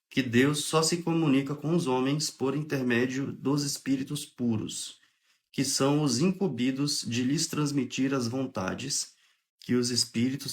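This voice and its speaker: A young man with a Brazilian accent and a cold, clearing his throat now and then, hosting a relaxed spiritist study livestream, reading aloud and explaining in a chatty way. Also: medium pitch. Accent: Brazilian Portuguese. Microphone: phone or webcam on a livestream.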